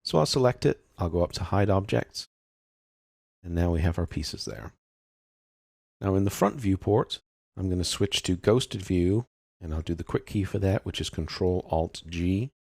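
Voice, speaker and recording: A man with a very low, creaky voice walks through a software tutorial. He explains each step methodically in informal, unscripted language. The sound is close and dry. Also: lively intonation, normal speed, dry recording.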